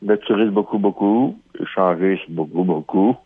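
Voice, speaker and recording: Husky Québécois caller on a muffled line. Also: male voice, low-pitched, normal speed, no echo. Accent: Québécois French. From Quebec. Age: 30s. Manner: rambling, hesitant, many fillers. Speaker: radio caller. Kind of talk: casual phone-in chat. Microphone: telephone handset. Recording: dull muffled phone line.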